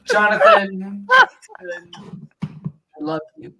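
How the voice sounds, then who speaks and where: A man voicing a tender, lovesick young woman in a slow, theatrical manner, in an amateur script reading. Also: extremely high pitch, huge pitch swings, gravelly, pushed and bright, slight background noise, pitched above the performer's own voice, heavily acted. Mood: tearful, loving. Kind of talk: amateur script read-through.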